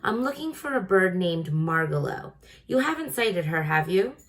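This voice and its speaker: A woman's voice: lowish voice, big pitch swings, normal speed. A woman voicing a tiny male mouse traveler from a storybook, polite, jaunty and wistful, with slightly formal speech. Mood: wistful, admiring.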